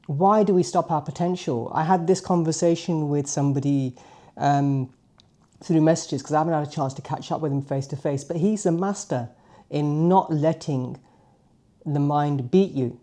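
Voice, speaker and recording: A man in his late forties speaks with a British accent, chatting to the camera in a loose, jokey way and throwing in self-aware asides. His voice is warm and mellow. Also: on the high side, measured pace, pauses often. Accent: British English. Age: 40s. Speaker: vlogger. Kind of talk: casual chat to camera.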